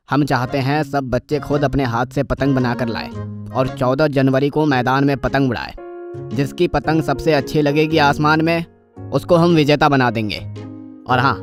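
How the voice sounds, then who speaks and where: A polite neighbour uncle from a children's cartoon, acted by a man, explains courteously at a middling pitch. Music plays clearly behind the announcement. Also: close to an everyday voice.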